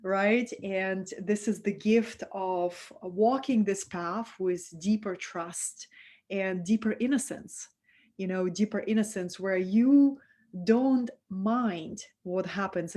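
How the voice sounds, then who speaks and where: A woman from Russia with a Russian accent, hosting a live-streamed spiritual talk and speaking softly and affectionately to her viewers. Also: mid-range voice, steady tone, takes it slowly. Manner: soft, affectionate, reads out viewer comments.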